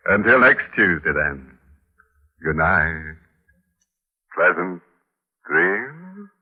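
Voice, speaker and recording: An actor playing a gleefully morbid, mocking horror host on a 1940s radio show, theatrical and sardonic, on an old recording. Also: male voice, deep voice, sing-song, very slow, vocal fry, pauses often, breathy edge, exaggerated voice. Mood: jovial, macabre. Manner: sardonic, theatrical, macabre humour. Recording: muffled vintage radio recording.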